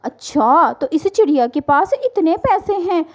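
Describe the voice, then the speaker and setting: Casting: woman as a vain, greedy cartoon lady bird, high voice, sly and theatrical. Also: animated, unhurried. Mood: gloating, scheming. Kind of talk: children's cartoon storytelling.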